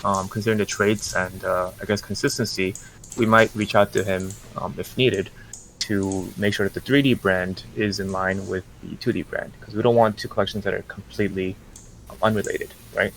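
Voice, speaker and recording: A man with a low, gravelly voice lays out business plans at an unhurried, measured pace, stopping often for fillers and restarts. Faint noise sits under his voice. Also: steady tone, a bit bright, rough recording. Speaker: NFT project representative. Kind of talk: casual podcast interview.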